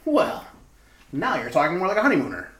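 Nosy know-it-all bellboy played by a man, theatrical and meddling, big pitch swings, roomy radio-play sound. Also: huge pitch swings, fairly quick, fairly bright tone. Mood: huffy. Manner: officious, pestering, theatrical. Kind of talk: retro radio comedy-mystery acting. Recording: roomy, echoing amateur radio-play recording.

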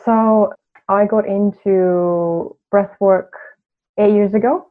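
A woman's voice: medium pitch, fairly even intonation, slow. A woman from Turkey, a coach speaking as a podcast guest in a reflective way, with many "you know" fillers. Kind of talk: podcast interview. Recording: tinny phone-line audio.

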